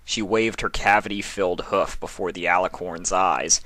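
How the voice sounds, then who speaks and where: A man narrates a story from a script. His voice is fairly low yet bright and forceful, and the sound is very dry, with hardly any room. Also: steady tone, normal speed, dry recording.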